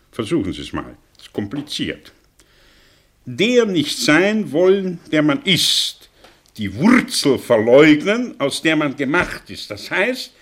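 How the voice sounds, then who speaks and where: An actor playing an older, sardonic, brilliant fallen intellectual in a German radio drama, speaking theatrically with rhetorical pauses and swells. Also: male voice, on the high side, a bit bright, slightly rough, full character voice. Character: aging, theatrical, sardonic man, brilliant fallen intellectual confessing his past.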